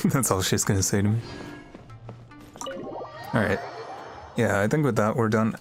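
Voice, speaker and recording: A man's voice: on the low side, unhurried. A man livestreaming a video game, chatting casually and musing aloud in a laid-back way. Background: game music.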